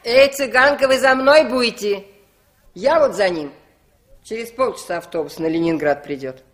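A woman's voice: on the high side, expressive, normal speed, close to an everyday voice. An actress playing a fussy, anxious middle-aged village woman with folksy chatter in a radio play. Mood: bossy, anxious. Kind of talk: 1960s Soviet radio play acting.

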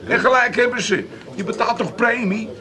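An actor playing a slick, persuasive, shady Amsterdam schemer in a comic radio play, glib and insistent. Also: male voice, very high voice, normal speed, sharp tone. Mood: glib persuading. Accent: Amsterdam Dutch.

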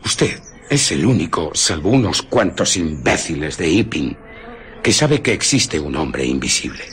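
Un actor interpretando a un hombre invisible amenazante y autoritario, un marginado resentido, en un radioteatro. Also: male voice, extremely low pitch, sing-song, a little slow, a bit bright, slight background noise, exaggerated voice. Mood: menacing, insistent. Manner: commanding, coercive. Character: menacing, domineering invisible man, a bitter outcast scientist. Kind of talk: radio drama acting.

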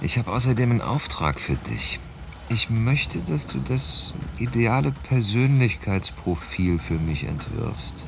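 A man's voice: mid-range voice, big pitch swings, unhurried, gravelly, background noise. An actor playing a pushy, self-assured man bargaining for power, commanding and insistent, in a radio drama. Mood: self-satisfied.

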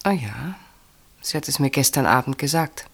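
A woman's voice: a bass voice, animated, normal speed, gravelly, slightly rough, exaggerated voice. An actress playing a guarded, troubled woman in a German radio play, speaking in a hesitant, evasive dramatic manner. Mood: subdued, bitter.

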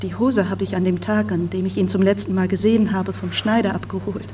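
A woman's voice: medium pitch, flat delivery, fairly quick, darkish tone, slight background noise, natural voice. A woman playing the anguished but resolute sister of a missing man in a radio crime drama, grave and controlled. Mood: numb, grieving.

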